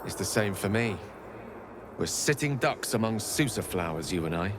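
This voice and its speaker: An actor playing a proud, articulate wizard in a fantasy video game, speaking in a droll, wordy, dignified manner. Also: male voice, fairly low pitch, animated, normal speed, noisy background, natural voice. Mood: wry unease. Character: proud, wry, articulate male wizard companion.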